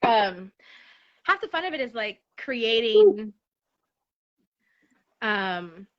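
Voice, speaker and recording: A woman in relaxed conversation at home over a video call, chatty and laughing often. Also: mid-range voice, drawn-out words, dull audio. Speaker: guest. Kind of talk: casual chat. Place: her apartment.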